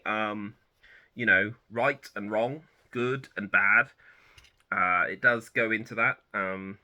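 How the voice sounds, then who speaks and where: A man in his thirties hosting a book channel, chatting casually about personal favourites in a relaxed, rambling way that often corrects itself. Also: lowish voice. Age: 30s. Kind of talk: casual personal chat to camera.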